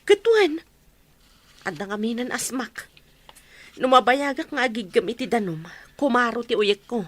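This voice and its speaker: Sickly village wife, Ilocano accent, plaintive complaints in a fairly high radio-play voice. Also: female voice, lively intonation, moderate pace, no echo. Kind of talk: Ilocano radio drama acting.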